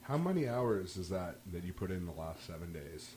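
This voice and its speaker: A man's voice: low-pitched, very animated, normal speed, darkish tone, slight background noise. A man with a Canadian accent hosting a casual podcast, joking and asking his friends about their week. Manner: upbeat, joking, asks questions. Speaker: podcast host. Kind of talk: casual chat.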